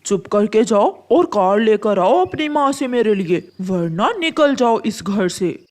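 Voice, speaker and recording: Slow, domineering husband bird acted by a woman. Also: mid-range voice, expressive, darkish tone, lightly acted. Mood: stern, threatening. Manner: curt, commanding. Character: greedy, domineering young husband bird, male.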